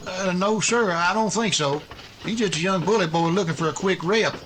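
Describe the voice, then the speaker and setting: Man playing a high-voiced, eager western deputy. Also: moderate pace, fairly bright tone, a little hiss or hum. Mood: anxious reporting. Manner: earnest, respectful. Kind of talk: western radio drama acting.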